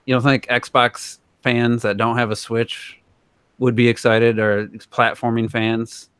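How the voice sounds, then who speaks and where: Man, mid-pitched, casual podcast co-host, opinionated, rambling delivery, dry sound. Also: flat delivery, takes it slowly, fairly bright tone, smooth, no room sound.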